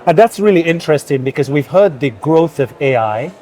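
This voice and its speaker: A man's voice: fairly high pitch, lively intonation, fairly quick, warm tone. A man from Nigeria hosting an interview at a tech conference and asking thoughtful, curious questions. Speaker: interviewer, host.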